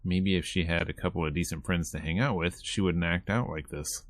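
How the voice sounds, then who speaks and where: Low-pitched man reading a game aloud. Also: fairly quick, dry recording. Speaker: streamer reading a visual novel aloud. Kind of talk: game let's-play, reading narration aloud.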